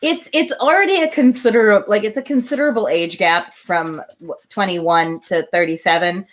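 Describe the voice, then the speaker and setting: A woman's voice: medium pitch, moderate pace. Woman reading a novel aloud, wry. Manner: wry, sarcastic interjections between passages. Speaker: reader and commentator.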